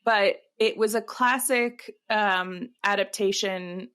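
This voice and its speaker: A woman's voice: mid-range voice, takes it slowly, fairly bright tone, clean tone. A woman co-hosting a podcast in a casual, comedic chat, giggly and colloquial in the way she talks. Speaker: podcast co-host.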